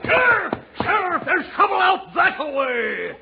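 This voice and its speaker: Shrill, excitable Western hollerer. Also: male voice, a little slow, creaky, exaggerated voice. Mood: alarmed. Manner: shouting, hollering rustic phrasing. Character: excitable comic Western townsman turned desperado. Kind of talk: 1940s radio comedy sketch acting. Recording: muffled noisy 1940s radio, loud audience.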